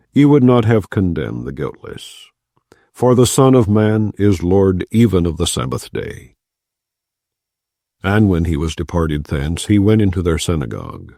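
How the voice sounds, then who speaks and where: A man giving a solemn, measured reading of scripture in archaic language. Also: low-pitched, mellow, no room sound, studio quality. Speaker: Bible reader.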